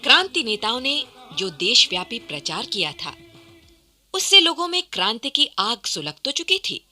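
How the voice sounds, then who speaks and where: A woman's voice: on the high side, bright, forceful tone. A woman giving dramatic scripted narration for a radio play, theatrical and emphatic.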